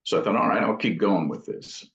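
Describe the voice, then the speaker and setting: A man's voice: fairly low pitch, animated, brisk, creaky, a bit bright. A man being interviewed as an amp builder, talking casually in thoughtful, rambling explanations. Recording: dull, echoey room sound.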